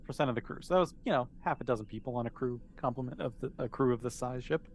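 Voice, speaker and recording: A man's voice: medium pitch, racing. A man, improvising casually and encouragingly as game master of a tabletop role-playing game.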